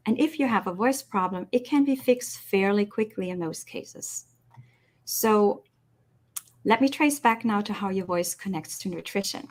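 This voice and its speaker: Woman explaining voice care clearly. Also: medium pitch, unhurried. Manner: clear, instructive, demonstrates voices. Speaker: voice expert guest. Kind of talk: explanatory podcast interview.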